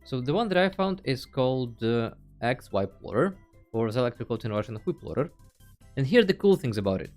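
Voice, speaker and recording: Lively man narrating a casual tutorial. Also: mid-range voice, brisk, faint music behind. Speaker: host of a video game tutorial channel.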